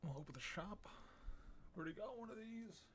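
A man's voice: high-pitched, expressive, brisk, a bit bright, a little hiss or hum. A man, giving casual game commentary and musing over choices in short phrases. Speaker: game streamer. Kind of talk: casual let's play commentary, thinking aloud. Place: indoor room at home. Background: game music.

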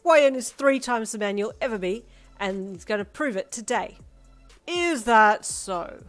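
A woman voicing a menacing male husky gang leader who talks slowly and loudly with a sneer. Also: a little high, expressive, no echo, soft background music, voice pushed up. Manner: slow, loud and sneering.

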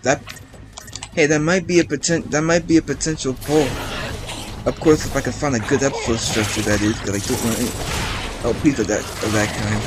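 एक किशोर लड़का, जो गेम खेलते हुए बिखरी, बातूनी कमेंट्री करता है, बार-बार "um" कहकर वाक्य दोबारा शुरू करता है, पीछे गेम का संगीत साफ़ बजता है।. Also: male voice, medium pitch, fairly even intonation, brisk. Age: teens. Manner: rambling, chatty, many restarts and ums. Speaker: gameplay commentator. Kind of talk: casual let's-play commentary. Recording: game music playing underneath.

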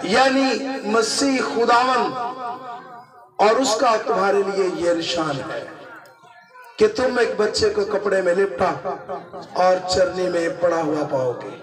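Man preaching, high voice, slow deliberate delivery, sore throat, echoey hall, crowd behind. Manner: animated preaching, rhetorical questions to the crowd. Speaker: pastor. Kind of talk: sermon. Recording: echoey hall, mic at a distance.

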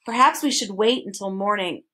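A woman's voice: mid-range voice, normal speed, bright, forceful tone. A woman voicing a timid, polite and earnest young boy in a storybook, hesitant and questioning. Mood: uneasy.

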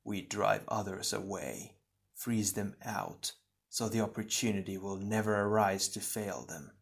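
A man voicing a cold, brooding shadow double of an ice warrior, soft and slowly insinuating. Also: on the low side, gravelly. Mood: bleak, insinuating. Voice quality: soft, hushed.

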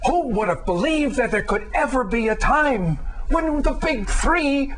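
A man's voice: extremely high pitch, animated, unhurried, fairly bright tone, slight background noise. An older American man giving an indignant, emphatic dramatic reading as a theatrical political rant.

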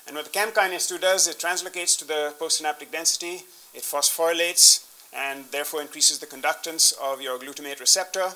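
A man's voice: fairly high pitch, steady tone, brisk, sharp tone, poor audio. A man in his fifties explaining slides in a scientific talk as a keynote speaker, often restarting his phrases. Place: indoor conference room, standing at a podium with laptop.

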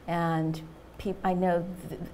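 A woman in her forties, a Korean native speaker, talks in a low, gravelly voice with little rise and fall. She recounts her past in a measured, reflective way on a studio panel. A faint room hum sits behind her. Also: little pitch movement, warm tone. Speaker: panel guest, journalist. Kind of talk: personal story in a panel talk. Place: studio stage with a small audience.

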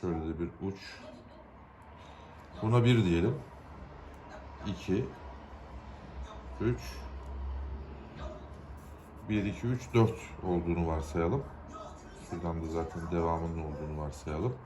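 A man's voice: low-pitched, fairly even intonation, normal speed, long pauses, crowd noise. A man from Turkey gives an informal, unscripted how-to, explaining step by step in plain, colloquial words. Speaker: hobbyist giving a DIY repair tutorial.

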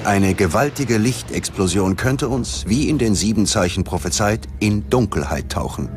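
A man narrates a documentary in a low-pitched voice. His scripted delivery is dramatic and ominous, and prominent music plays behind him. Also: expressive, normal speed.

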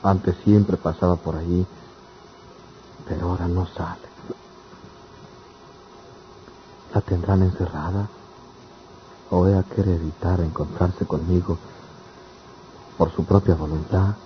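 A man's voice: low voice, fairly even intonation, measured pace, long pauses. An actor playing a poor, lovelorn and anguished young country man in a radionovela, speaking plaintively in rustic speech. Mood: brooding. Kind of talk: radionovela acting. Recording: dull, noisy old radio drama recording.

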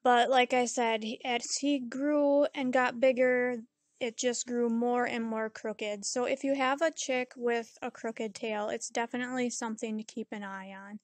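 A woman hosting her own channel, explaining a chicken condition in a gentle, slow voice-over. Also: a little high, fairly even intonation, no room sound. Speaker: backyard chicken keeper and channel host. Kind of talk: informal explainer over phone footage.

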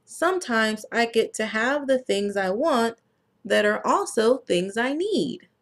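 A woman voicing a young boy named Joe, reading a picture book in a slow, plain way. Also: on the high side, lively intonation. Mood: earnest.

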